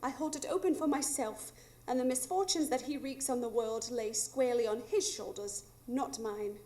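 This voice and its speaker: A woman plays a resolute young heroine for live radio drama. She has a very high voice and speaks in an earnest, articulate way. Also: moderate pace, warm tone, natural voice.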